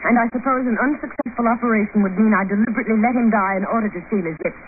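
A woman's voice: fairly high pitch, racing, narrow, tinny audio, natural voice. An actress in a 1950s radio drama playing a haughty woman surgeon, formal and indignant. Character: cool, haughty woman surgeon under suspicion. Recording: noisy, band-limited old radio recording.

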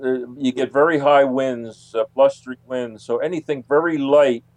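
A man answering as an interview guest, conversational and halting, repeating phrases for emphasis. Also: mid-range voice, steady tone, darkish tone, dry recording.

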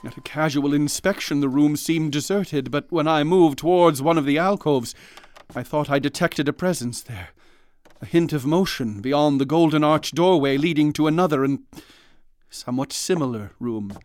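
An actor playing a haunted man who narrates his own horror in slow, ornate, dread-laden words. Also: a little high, darkish tone, clear, resonant tone, dry recording, close to an everyday voice.

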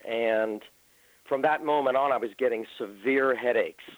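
A man's voice: mid-range voice, normal speed, dry recording. A man in his fifties, a guest on a radio interview, answering earnestly and at length with many ums. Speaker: paranormal researcher guest. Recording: tinny phone line, dry.

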